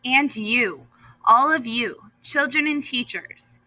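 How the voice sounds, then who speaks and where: A woman's voice: fairly high pitch, takes it slowly, pushed and bright, pitched above the performer's own voice, heavily acted, poor audio. A woman voicing a proud, earnest male school principal from a storybook, speaking emphatically with relish. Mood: earnest, announcing. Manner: emphatic, declamatory, repeats words with relish.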